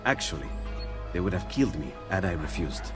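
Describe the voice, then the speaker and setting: Eager inventor, game drama: friendly and earnest, slightly low register, lively swoops, loud score behind. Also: male voice, brisk. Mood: apologetic, earnest. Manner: earnest, expressive. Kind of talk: dramatic video game acting. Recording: loud music over the voice.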